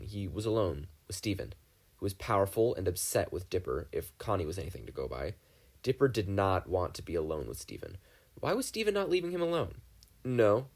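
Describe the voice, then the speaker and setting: A man narrates a fan fiction story in a fairly low voice. His reading is expressive and dramatic, with pitch that rises and falls a great deal. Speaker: podfic reader.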